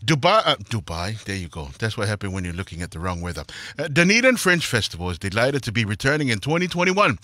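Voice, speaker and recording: A man's voice: on the low side, sing-song, fast, sharp tone, no echo, studio quality. A man hosting a morning radio interview in an easygoing, chatty way. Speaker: radio morning show host.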